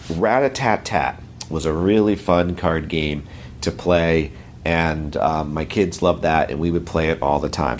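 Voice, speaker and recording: A hoarse middle-aged man from the United States, rambling in a friendly way as a podcast regular and sharing tips. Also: deep voice, slight background noise. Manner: rambling, friendly, self-correcting. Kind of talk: casual podcast segment.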